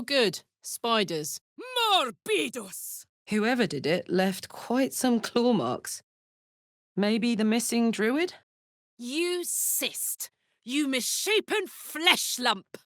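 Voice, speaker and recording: A woman playing a brash, warm-hearted tough warrior in a video game, blunt and sweary with a casual swagger and earnest feeling. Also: on the high side, dry recording, clean studio sound, exaggerated voice. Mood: shouting, fierce. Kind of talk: video game character voice lines.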